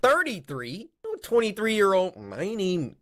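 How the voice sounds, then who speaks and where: A man's voice: high voice, wildly swooping pitch, a little slow, slight background noise. A man hosting a gaming video, joking and talking back to a story as he reacts to it. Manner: joking, talks back to the story, hypes himself up. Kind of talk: comic live reaction commentary. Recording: music playing under voice. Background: background music.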